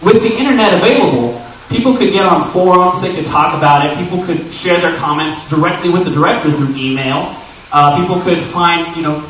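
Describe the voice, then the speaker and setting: American man, fairly high voice, informal convention presenter, joking and conversational, recorded distantly in a very echoey room. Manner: animated, conversational, self-deprecating joking. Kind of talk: informal live presentation to an audience. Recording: echoey, dull, noisy room from far off.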